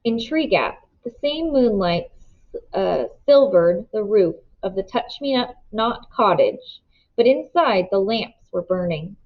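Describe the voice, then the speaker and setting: A woman's voice: medium pitch, takes it slowly. Woman reading a children's novel aloud. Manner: steady storybook narration. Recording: dull lo-fi recording.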